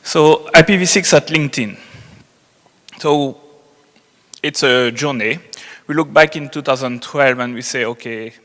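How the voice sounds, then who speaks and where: A man with a strong French accent speaks at a medium pitch in a big reverberant hall. He gives an unscripted technical presentation to a conference, explaining as he goes. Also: measured pace, long pauses, a bit bright. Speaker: conference presenter, network engineer.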